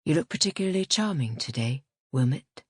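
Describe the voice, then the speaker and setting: A woman's voice: low-pitched, expressive, fairly quick, a little mellow, breathy edge, dry recording, studio quality, pitched below the performer's own voice. A woman voicing a charming, educated man in an audiobook, speaking in a wry, teasing, languid way. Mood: wry, flirtatious. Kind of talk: audiobook character voice.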